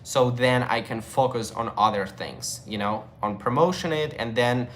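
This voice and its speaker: Male streamer, young adult; casual, rambling chat with viewers, mid pitch, faint noise behind him. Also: normal speed, fairly bright tone. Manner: loose, chatty, with many fillers and restarts. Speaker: livestream host. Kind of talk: casual livestream chat, reading viewer comments.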